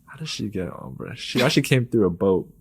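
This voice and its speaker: A man's voice: fairly low pitch, lively intonation, fast talker, slightly rough. Young man rambling with lots of fillers. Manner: rambling, many "like" fillers, laughs often. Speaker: podcast guest. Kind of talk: casual conversation.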